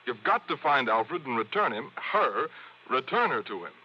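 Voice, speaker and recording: A man's voice: medium pitch, sing-song, moderate pace, close to an everyday voice. A man playing a harried, earnest insurance official in a vintage radio drama, apologetic and pleading. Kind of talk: 1950s-60s radio drama acting. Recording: dull, noisy old radio recording.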